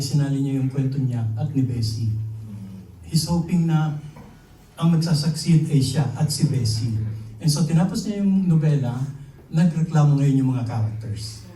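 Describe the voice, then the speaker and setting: A Filipino man explaining a story unscripted to an audience, deliberately, repeating key phrases as he goes. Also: on the high side, mellow. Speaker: novelist at a press conference. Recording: roomy, distant mic, faint noise.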